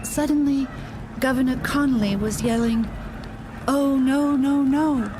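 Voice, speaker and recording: An actress playing a grieving widowed First Lady in an audio drama, halting and fragile as she recalls events. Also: high-pitched, steady tone, background noise. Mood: dazed, halting. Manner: halting, fragile, dazed recollection.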